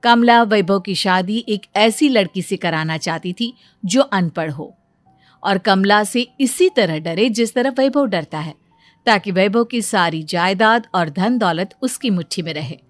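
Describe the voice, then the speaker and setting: A woman narrating a scripted bedtime cartoon story in a calm storytelling manner. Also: mid-range voice, measured pace, no echo, soft background music.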